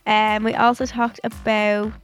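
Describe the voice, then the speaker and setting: A woman's voice: mid-range voice, level tone, unhurried, faint music behind. A woman co-hosting a podcast, chatting casually, swearing and laughing. She is stuffed up with a cold. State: congested with a cold. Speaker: podcast co-host. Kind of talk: casual chat.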